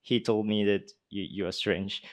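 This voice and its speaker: A man's voice: lowish voice, flat delivery, moderate pace. Japanese man speaking British English. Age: 20s. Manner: hesitant with many fillers when answering. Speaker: university speech contestant. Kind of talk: formal speech, then unscripted Q&A answers. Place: conference hall.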